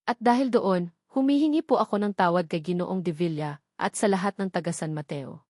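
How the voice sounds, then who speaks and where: A woman's voice: on the low side, brisk, darkish tone, no echo, studio quality, close to an everyday voice. A Filipino woman voicing an earnest young mother who is confessing, in a dramatic story reading. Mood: earnest, pleading.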